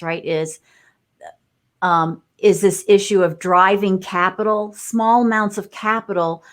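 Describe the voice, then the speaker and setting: A woman guest in a live discussion, explaining her views in a thoughtful, rambling way with many fillers and restarts. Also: mid-range voice. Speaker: guest entrepreneur.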